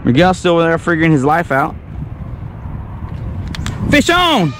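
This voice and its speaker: Excitable young man fishing from a kayak. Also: on the high side, a little slow, slight background noise. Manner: excitable, hollers when a fish bites. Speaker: fishing vlogger. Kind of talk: casual on-the-water commentary. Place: kayak on an open lake outdoors. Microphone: action camera on the kayak.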